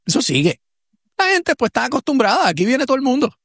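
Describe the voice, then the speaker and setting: A man's voice: very high voice, big pitch swings, quick pace, a bit bright, breathy edge, dry recording, studio quality. A man with a Puerto Rican Spanish accent, a co-host and professor, giving reflective talk-show commentary full of restarts and fillers.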